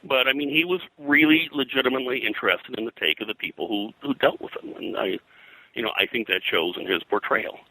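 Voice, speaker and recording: An older man reminiscing as an interview guest in a casual, halting, digressive way, often clearing his throat. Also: mid-range voice, pushed and bright, dry recording, poor audio. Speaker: interview guest, comics colorist and pop culture historian.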